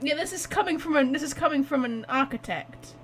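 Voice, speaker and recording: A woman giving casual, expressive gameplay commentary as a streamer, teasing and informal. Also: sits high, brisk, a little hiss or hum. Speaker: streamer reacting to a game story.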